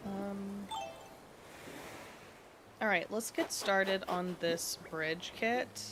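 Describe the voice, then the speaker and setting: A woman's voice: fairly low pitch, gravelly. A sniffly woman streamer chatting casually and thinking aloud while she plays a game. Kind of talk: casual gaming chat.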